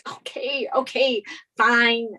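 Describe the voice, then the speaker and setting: Woman acting a high-voiced, anxious young rich girl. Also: level tone, takes it slowly, bright, forceful tone, full character voice. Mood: pleading. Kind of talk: sitcom script table read.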